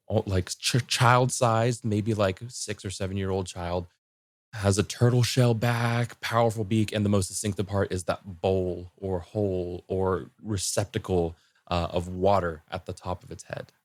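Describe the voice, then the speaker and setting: A man explaining folklore in casual conversation as a podcast guest, haltingly rephrasing and repeating himself as he goes. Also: sits low, steady tone, no echo.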